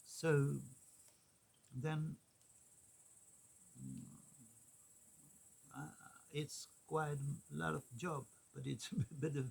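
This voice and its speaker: A man talks slowly, leaving long pauses between short phrases. His voice is gravelly and husky. He rambles casually, hunting for his words as he goes. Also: fairly high pitch, fairly even intonation. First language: Swedish. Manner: halting, many pauses and fillers. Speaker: vlogger.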